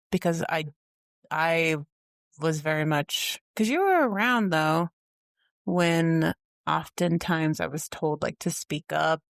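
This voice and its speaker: A woman's voice: low voice, vocal fry, dry recording. Podcast cohost lady, reflective, laughs a lot, dry close sound. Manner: earnest, thoughtful, laughs often. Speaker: podcast co-host. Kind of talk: casual reflective chat.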